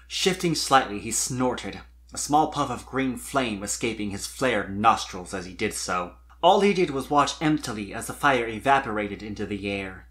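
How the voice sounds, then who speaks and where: A man reading a scripted story as narrator, in an expressive, wistful storybook manner. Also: medium pitch. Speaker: fan fiction narrator.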